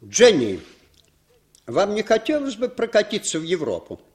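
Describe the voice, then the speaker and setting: Male spymaster, fairly high voice, commanding and slyly ironic, theatrical 1960s Soviet radio play style. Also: huge pitch swings, normal speed, darkish tone, husky, heavily acted. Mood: sly, persuasive. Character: male Western spymaster chief, urbane, cynical, commanding.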